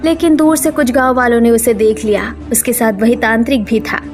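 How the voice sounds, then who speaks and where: A woman's voice: fairly high pitch, steady tone, brisk, over music. A woman narrating a scripted cartoon story as its storyteller.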